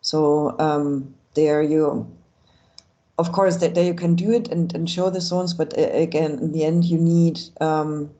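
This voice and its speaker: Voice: medium pitch, steady tone, measured pace, mellow. A panel expert on heat planning, speaking carefully and hedging with many fillers. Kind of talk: panel discussion.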